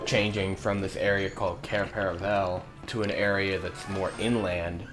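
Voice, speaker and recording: A young man chats and jokes over a video game, relaxed and quick to laugh. His voice sits a little low. Game music plays clearly beneath him. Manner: laid-back, joking, laughs often. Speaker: let's play co-commentator. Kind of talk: casual gaming chat.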